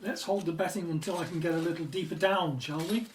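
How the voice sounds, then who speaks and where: A woman's voice: fairly low pitch, steady tone, fast talker, lightly acted. A woman playing a focused, polite archaeologist in a radio drama, heard echoey and off mic. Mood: focused, brisk. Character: focused, polite archaeologist named Doctor Philip Branscombe. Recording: echoey, distant from mic, faint noise.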